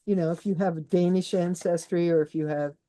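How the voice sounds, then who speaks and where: A woman guest born in Austria talks through her subject in a loose, conversational way. Her voice sits low and mellow, with frequent restarts and small laughs along the way. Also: fairly even intonation, fairly quick. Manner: chatty, many restarts, laughs while explaining. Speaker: author and herbalist guest. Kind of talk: conversational interview.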